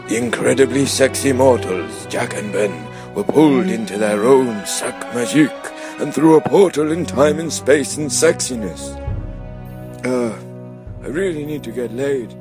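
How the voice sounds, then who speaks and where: Slow mock-dramatic male narrator over music. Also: mid-range voice. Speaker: narrator on a radio show. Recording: music bed behind the voice.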